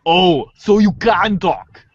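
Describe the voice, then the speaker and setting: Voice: mid-range voice, lively intonation, slow pace, no room sound. A performer playing an articulate, sardonic, exasperated girl in amateur readers' theater, wry, wordy and precise. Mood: puzzled. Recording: muffled lo-fi audio.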